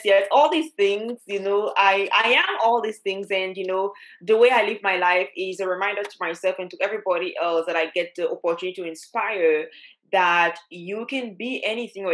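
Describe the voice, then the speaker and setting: A woman in her thirties from Cameroon, speaking as an interview guest over a headset on a video call. She talks animatedly, dotting her speech with fillers and quick laughs. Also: medium pitch, a bit bright, poor audio. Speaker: travel blogger and doctor, guest. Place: home room, indoors.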